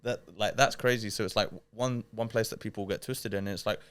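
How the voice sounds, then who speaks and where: A man's voice: fairly low pitch, fairly even intonation, a little fast, a bit bright, clear, resonant tone. A man hosting a podcast, chatting casually in slangy, filler-heavy talk. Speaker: podcast host. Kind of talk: casual chat.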